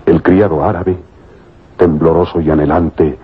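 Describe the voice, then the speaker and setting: An actor as the narrator of an old adventure radio serial, storytelling in a solemn, measured, declamatory way. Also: male voice, sits low, level tone, husky, narrow, tinny audio, exaggerated voice. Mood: dramatic.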